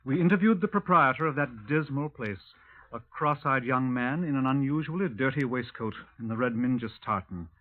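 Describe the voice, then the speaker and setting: A thin, narrow old radio recording of a man playing a police sergeant. His voice is mid-pitched, formal and matter-of-fact, with a deadpan edge to both questions and narration. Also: normal speed, natural voice. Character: brisk, deadpan Scotland Yard sergeant. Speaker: detective and narrator. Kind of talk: 1950s radio drama acting. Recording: thin, band-limited 1950s radio recording.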